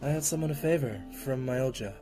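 A man's voice: mid-range voice, fast, music in the background, close to an everyday voice. A man voicing an upbeat, confident, teasing doctor in a comic fan dub. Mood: breezy. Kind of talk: dubbed comic dialogue.

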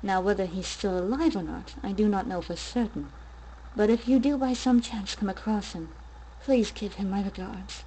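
A gentle, motherly guardian of children, played by a woman. She speaks softly and with care, wistful and a little sorrowful, as in a story-driven game. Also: mid-range voice, slight background noise, lightly acted, poor audio. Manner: soft, measured, sorrowful.

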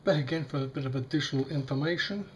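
A man with a slightly croaky voice, demonstrating a device in a casual, hesitant way with many fillers. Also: a little high, fast, slight background noise. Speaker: tech unboxing vlogger. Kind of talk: casual product demo. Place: small indoor room at a table.

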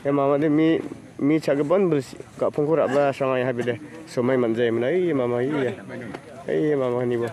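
Chatty man vlogging outdoors on a phone. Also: mid-range voice. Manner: chatty, greets people as he walks. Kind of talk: casual walking vlog. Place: outdoors at a rural fishing pond fair. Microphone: handheld phone camera.

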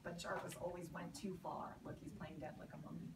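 A woman's voice: lowish voice, flat delivery, fairly quick. A woman, a teacher, reading a picture book expressively to young children and prompting them with questions as she projects to the group. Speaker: elementary school teacher. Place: elementary school classroom, indoors. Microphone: camera across the room. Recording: roomy, noisy classroom, distant camera mic. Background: children's voices and classroom noise.

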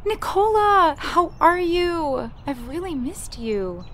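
A woman's voice: extremely high pitch, sing-song, slow pace, fairly bright tone, slight background noise, full character voice. A woman playing a friendly, eager young teacher in an amateur audio drama. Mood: delighted.

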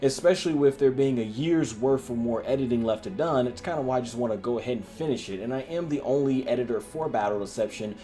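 A man's voice: mid-range voice, quick pace, few pauses, mellow. A man in his twenties hosting a project update vlog as an independent filmmaker, speaking to camera with an emphatic, showy presenter delivery. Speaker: independent filmmaker and video channel host. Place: small indoor room at home. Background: music.

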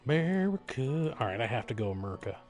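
A man giving casual live gameplay commentary, musing aloud in a jokey, drawn-out way. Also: mid-range voice, sing-song, a little mellow, soft background music. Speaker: game streamer.

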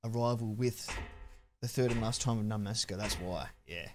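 A man in his thirties with an Australian accent, chatting casually with viewers while streaming a game on a headset mic, relaxed and chatty with sudden loud outbursts. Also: lowish voice, normal speed, background noise. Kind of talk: casual live-stream chat while gaming.

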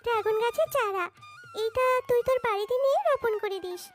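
Lady playing little cartoon animal girl, really squeaky high, childlike n earnest, music under it. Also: fairly quick. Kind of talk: cartoon voice acting. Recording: music behind the voice.

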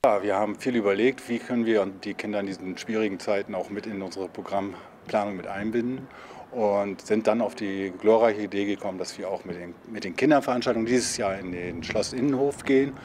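Lowish older man in interview. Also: brisk, a little mellow, slight background noise. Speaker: children's programme organiser interviewee. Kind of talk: interview statement. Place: outdoors by a garden fence.

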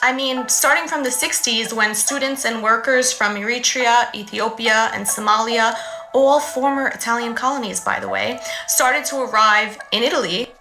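A young woman from New City, explaining to her phone camera in a casual, animated way with conversational asides. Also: a little high, moderate pace, creaky, reverberant, over music, poor audio. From New York City, USA. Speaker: vlogger. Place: room at home, indoors.

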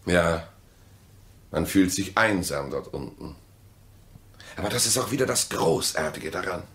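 An actor in a German radio play voicing an evasive, mistrustful husband with dry sarcasm and a clipped, deliberate delivery. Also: male voice, low-pitched, animated, a bit bright, slightly rough, heavily acted. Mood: dry, skeptical.

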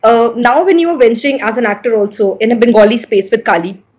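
A woman's voice: fairly high pitch, fast, a little mellow, breathy edge. A young woman hosting an entertainment interview over a video call, asking chatty, enthusiastic questions. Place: indoor room. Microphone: laptop camera on a video call.

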